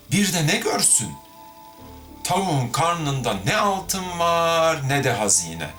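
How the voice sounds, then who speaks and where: A man telling tales as an amateur storyteller, in an expressive, theatrical and colloquial way with a sardonic streak. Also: mid-range voice. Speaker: amateur storyteller, host of a tale-reading series. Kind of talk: expressive fairy-tale reading with informal commentary.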